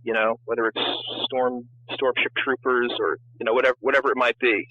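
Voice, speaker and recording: A man's voice: medium pitch, level tone, fairly quick. Guy being interviewed over the phone, thin tinny sound, chatting casually, no room echo. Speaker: interview guest, book publisher. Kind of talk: casual conversational interview answers. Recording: tinny phone-like call line.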